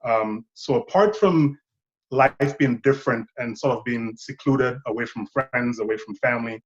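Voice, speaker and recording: A man's voice: mid-range voice, normal speed, lo-fi sound. A man in his thirties from Saint Lucia, a filmmaker guest talking thoughtfully and rambling a bit. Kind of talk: casual interview chat.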